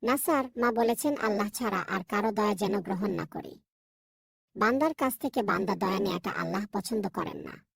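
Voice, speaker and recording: Woman playing a husky, earnest little schoolboy. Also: mid-range voice, moderate pace, no room sound, studio quality. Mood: earnest, firm. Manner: earnest, polite. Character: calm, honest, pious young schoolboy. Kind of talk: cartoon voice acting.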